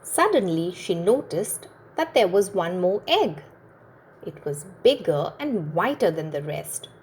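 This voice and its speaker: Lady reading a kids storybook, slowish and on the low side, expressive, teaches as she goes. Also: a little hiss or hum. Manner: expressive, deliberate, instructive. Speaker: children's storyteller and host. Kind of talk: read-aloud storybook narration for young children.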